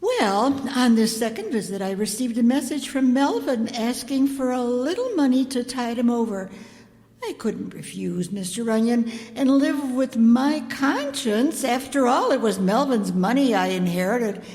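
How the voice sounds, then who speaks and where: Woman playing a high, swooping suburban matron. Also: lively intonation, unhurried, a little mellow, long reverb tail. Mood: earnest, confiding. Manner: prim, wordy, talks over her husband. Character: married suburban matron, genteel, insistent, credulous about seances. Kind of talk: old-time radio detective drama acting.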